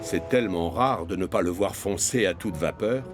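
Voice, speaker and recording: A man's voice: deep voice, normal speed. Male storybook narrator over prominent music. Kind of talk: scripted children's story narration.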